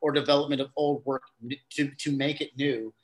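A man's voice: medium pitch, steady tone, brisk, poor audio. A middle-aged man, a guest on an interview show, explaining his theater company's new work in a rambling way, often restarting his sentences. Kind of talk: casual interview talk.

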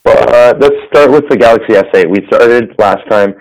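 A slightly hoarse man hosting a casual tech podcast over a phone line, rambling with fillers and restarts. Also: mid-range voice, moderate pace. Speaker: podcast host. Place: business center. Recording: phone-quality audio.

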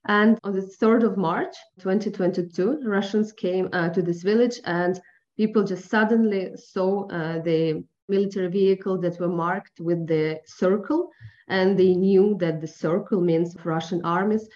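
A Ukrainian woman journalist, a guest speaking over a phone line, choosing her words carefully with frequent pauses and fillers. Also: mid-range voice, steady tone, darkish tone. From eastern Ukraine. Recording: dull phone-line audio.

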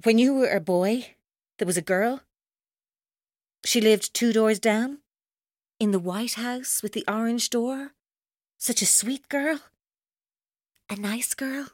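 A woman's voice: fairly high pitch, slow pace, pauses often, no room sound, clean studio sound. A woman playing a tormented, vengeful captor in a radio drama, with an intense, taunting, accusatory delivery. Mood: taunting, insistent.

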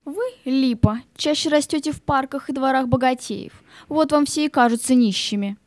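A woman's voice: high voice, normal speed, no room sound, studio quality, close to an everyday voice. A woman playing a chatty, teasing tree of the forest in a children's audio play. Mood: gossipy, teasing.

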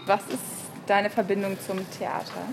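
A woman's voice: medium pitch, level tone, normal speed, gravelly. A woman podcaster speaking casually and curiously, as an interviewer. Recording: loud background noise.

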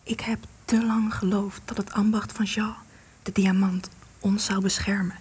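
An actress in a radio play, playing an anguished, guilt-ridden woman in hiding with a secret lover, breathy, intense and pleading. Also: medium pitch, flat delivery, a little slow, a little mellow. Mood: hushed, regretful.

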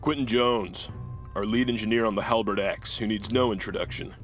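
A man acting as a stern military commander briefing a crew, formal and deliberate, in a sci-fi audio drama. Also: lowish voice, faint music behind, close to an everyday voice.

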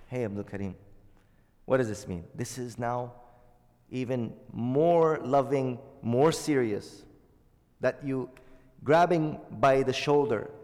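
A man's voice: mid-range voice, darkish tone, in a large hall. A man giving a religious lesson to a congregation, explaining Arabic terms and asking his listeners questions. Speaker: Islamic teacher.

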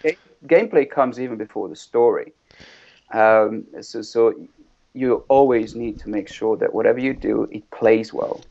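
A man's voice: lowish voice, normal speed, creaky, darkish tone. A man from Bosnia, a guest concept artist, talking in a casual interview with many restarts and repeated words. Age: middle-aged. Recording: lo-fi, muffled audio.

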